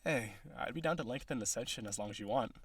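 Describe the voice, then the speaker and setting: A man's voice: mid-range voice, quick pace, fairly bright tone, slightly rough, dry recording. A man playing a cocky, flirtatious businessman villain on a date in an audio roleplay, with a sardonic, teasing, conversational delivery. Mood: flirty, teasing.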